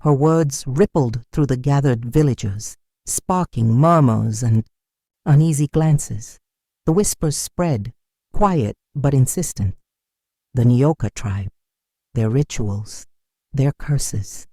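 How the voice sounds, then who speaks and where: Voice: medium pitch, takes it slowly, mellow, no room sound, clean studio sound. A narrator telling a dramatic folktale from a script in a solemn, literary manner.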